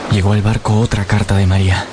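A man's voice: lowish voice, little pitch movement, fast talker, noisy background, natural voice. An actor playing an earnest, lovesick young man who solemnly narrates his tragic memories in a radio drama. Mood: anxious longing. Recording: loud music behind the voice.

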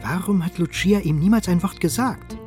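A man's voice: high voice, moderate pace, darkish tone, faint music behind. A man narrating a literary radio play in a scripted, storytelling style.

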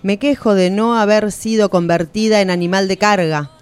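An actress as ancient suffering everywoman, sorrowful, reproachful, medium pitch, poetic radio drama lament, music underneath. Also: steady tone, moderate pace, smooth, close to an everyday voice. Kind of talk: declamatory radio theatre recitation.

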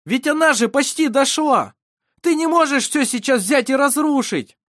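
An excitable fantasy companion, male, as one reader plays him. He shouts in despair at a high pitch, urgent and exclaiming, in a very dry recording. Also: unhurried, no room sound.

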